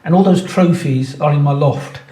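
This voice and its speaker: A man's voice: on the high side, moderate pace, mellow. A man in his sixties, born in Pakistan and raised in England, a football club chairman answering interview questions earnestly, with frequent restarts and repeated words. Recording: roomy echo, mic at a distance.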